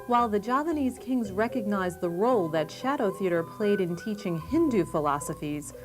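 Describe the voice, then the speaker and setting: A woman's voice: medium pitch, expressive, moderate pace, music in the background. A woman reading scripted documentary narration as the narrator.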